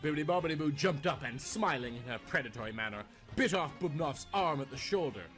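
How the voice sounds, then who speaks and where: A man's voice: fairly high pitch, expressive, fairly quick, fairly bright tone. A man reading a scripted tale as a theatrical, dramatic storyteller. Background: music.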